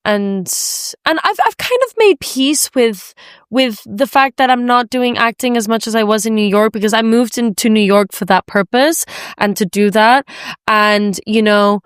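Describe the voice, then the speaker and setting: A woman's voice: a little high, normal speed, clean tone, no echo, clean studio sound. A woman from Spain hosting a podcast in a casual, chatty way, often dropping "like" into her sentences. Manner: informal, chatty, peppered with "like". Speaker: podcast host. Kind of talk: casual conversational interview.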